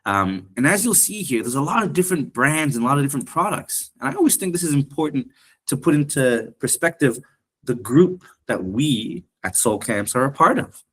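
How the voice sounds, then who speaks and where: A man's voice: mid-range voice, very animated, moderate pace, fairly bright tone, slightly rough. Polite, earnest man presenting online. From Canada and Thailand. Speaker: education program director presenting.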